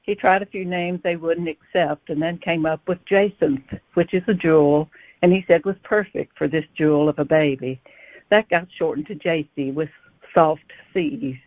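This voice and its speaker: A woman's voice: low-pitched, fairly even intonation, moderate pace, dry recording. A woman author answering as an interview guest, explaining in a storytelling style over a narrow phone-like line.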